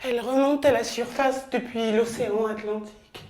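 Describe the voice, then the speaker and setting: A woman performing a poetic theatre monologue on stage, incantatory, moving between whispers and loud declamation. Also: fairly high pitch, fairly even intonation, normal speed.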